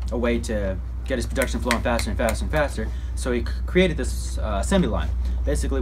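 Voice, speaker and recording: A man's voice: medium pitch, darkish tone, slight background noise. A man giving an informal mock news report in a folksy, rambling, repetitive way. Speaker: presenter in a student history project.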